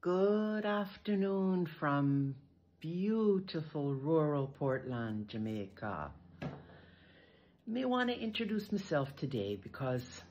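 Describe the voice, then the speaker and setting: Woman, senior, Canadian-raised, informal Patois to camera. Unhurried and deliberate, slightly muffled outdoor phone sound. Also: medium pitch. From northern Canada. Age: older, 60s or 70s. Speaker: retired teacher living in Jamaica. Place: outdoors in rural garden greenery. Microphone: phone at arm's length. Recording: muffled phone audio with some noise.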